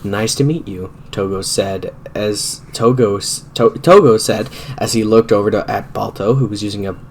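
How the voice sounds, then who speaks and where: A man's voice: fairly low pitch, moderate pace, a little hiss or hum. A man giving a dramatic story reading, amused and stumbling over the text. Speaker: reader of fan fiction. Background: music.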